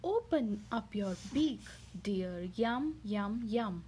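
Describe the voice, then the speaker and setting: A woman's voice: medium pitch, animated, slow, dark tone, husky, heavily acted. A woman voicing a fussy, bossy mother duck in a storybook read-aloud, coaxing and emphatic. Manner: sing-song, coaxing, emphatic.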